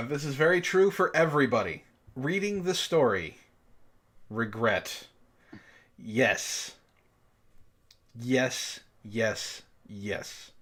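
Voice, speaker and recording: A man's voice: mid-range voice, animated, very slow, long pauses, a bit bright. A man reading a story aloud and bantering casually, with an exasperated, profane, mocking manner. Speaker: book club host and reader.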